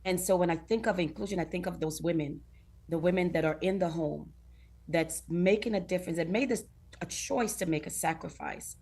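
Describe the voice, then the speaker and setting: Low-voiced woman from Guyana. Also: a little mellow. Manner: earnest, laughs often, acts out other people's lines. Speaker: talk show guest. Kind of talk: casual interview chat.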